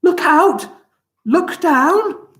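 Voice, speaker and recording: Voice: very high voice, lively intonation, extremely slow, fairly bright tone, full character voice. A storybook reader voicing a timid, earnest young tyrannosaur who is tearful and sweet. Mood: alarmed yelling. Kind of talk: storybook character voice.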